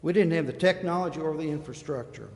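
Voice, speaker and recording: A man's voice: on the high side, quick pace, a little mellow. A plain-spoken, emphatic man giving a public comment as a citizen at a council meeting. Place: indoor council chamber. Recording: reverberant meeting hall.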